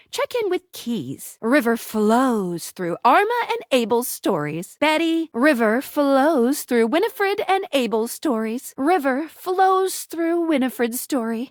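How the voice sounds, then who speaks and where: An actress voicing an upbeat, playful female helper in a video game, perky and expressive as she delivers scripted hints to the player. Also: high-pitched, no room sound, studio quality. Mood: upbeat, helpful. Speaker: game character guide giving hints.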